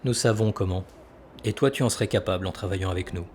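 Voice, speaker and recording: An actor playing a calculating senator who persuades with measured authority in an audio drama. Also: male voice, sits low, darkish tone, slight background noise, lightly acted. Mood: conspiratorial. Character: calculating, persuasive male senator and military schemer.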